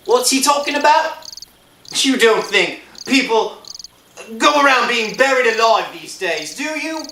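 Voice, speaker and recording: A man playing a gruff, suspicious cemetery caretaker who scolds in colloquial speech in a horror radio drama. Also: very high-pitched, expressive, a little slow, sharp tone, roomy sound, far from the mic, slight background noise, exaggerated voice. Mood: suspicious, puzzled. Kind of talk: old-time horror radio drama acting.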